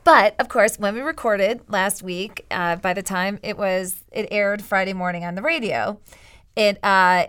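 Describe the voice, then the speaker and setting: A woman from Cleveland co-hosting a casual talk show, bubbly, her voice a little raspy from a lingering cough. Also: medium pitch, a little slow, fairly bright tone, no echo. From Cleveland, United States. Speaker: radio and TV show co-host.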